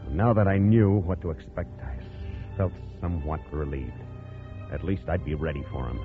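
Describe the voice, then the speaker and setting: Deep-voiced male actor as an anxious, haunted hunter narrating an old radio thriller; narrow vintage sound, music underneath. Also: lightly acted. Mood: grim, wary. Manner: tense, haunted, halting inner monologue. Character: anxious hunter convinced his companion means to kill him. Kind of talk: 1940s radio suspense acting, first-person narration.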